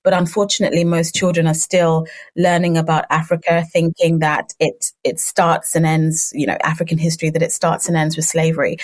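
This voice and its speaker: A woman's voice: lowish voice, a little mellow. A woman in her thirties, Ugandan-born and raised in the UK, answering interview questions at length in a fluent, thoughtful way. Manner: fluent, thoughtful, with some fillers. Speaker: guest, children's book publisher.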